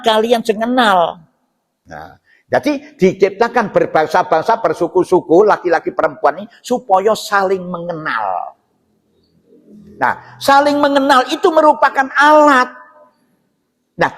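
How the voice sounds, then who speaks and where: A man with a Javanese accent giving an informal mosque sermon, explaining scripture in a didactic way with rhetorical questions and check-in tags. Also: high-pitched. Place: inside a mosque. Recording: reverberant hall sound.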